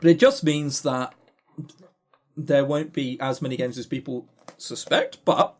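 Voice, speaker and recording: A man co-hosting a podcast, chatting casually and opinionatedly about games in a halting, animated way. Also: mid-range voice. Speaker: podcast co-host.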